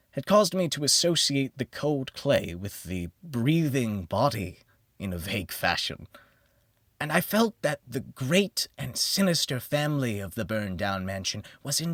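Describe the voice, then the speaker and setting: A man narrates a first-person gothic horror audiobook in a theatrical style. His mid-pitched voice moves slowly and deliberately, sinking into hushed phrases and rising into emphatic ones with a very animated range. The sound is dry, with no sense of room around him. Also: no room sound.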